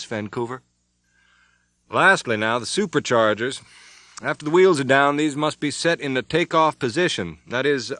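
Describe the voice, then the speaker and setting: A man's voice: medium pitch, sharp tone, dry recording, voice pushed up, close to an everyday voice. A man voicing a commanding airline captain who gives step-by-step radio instructions. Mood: instructing. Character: middle-aged airline captain, firm and commanding. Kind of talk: audiobook character voice.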